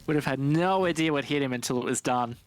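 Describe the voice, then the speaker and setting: A man's voice: mid-range voice, quick pace. A man giving live commentary and analysis of an esports match.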